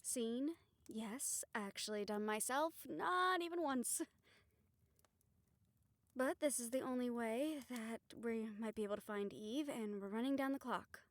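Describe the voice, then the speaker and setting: A woman playing a calm, reassuring witch who leads a ritual in an audio roleplay, steady and encouraging as she gives instructions. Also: on the high side, measured pace, dry recording. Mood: earnest, focused. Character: calm, reassuring female witch leading a soul-bond ritual.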